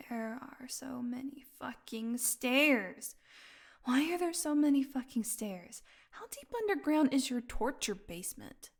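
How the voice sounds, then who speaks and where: An actress plays a captive vampire woman with a fairly high, breathy voice. She speaks slowly and wearily, slipping into whispers. Her intimate roleplay delivery is sardonic, teasing and edged with hunger. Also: expressive, exaggerated voice. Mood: weary, teasing. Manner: sarcastic, breathy murmurs, drawn-out weary delivery. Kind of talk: intimate audio roleplay acting.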